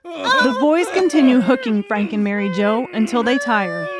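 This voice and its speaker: A woman's voice: fairly high pitch, expressive, moderate pace, very noisy. A woman reading scripted, dramatic audiobook narration.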